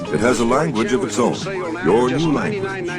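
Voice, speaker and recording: A man reading scripted instructional narration in a gravelly voice. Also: mid-range voice, very animated, normal speed, loud music behind the voice.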